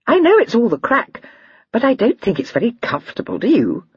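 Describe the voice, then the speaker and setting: A woman reading aloud, voicing an excitable, teasing young lady in a high, breathy, girlish voice. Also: fairly quick, no echo, highs cut off, full character voice. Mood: chattering.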